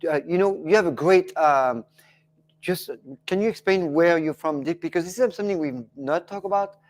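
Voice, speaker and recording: A man's voice: fairly high pitch, normal speed, darkish tone. A man co-hosting a casual chat from his home kitchen, teasing and cutting in on his partner. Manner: teasing, interrupting. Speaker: co-host.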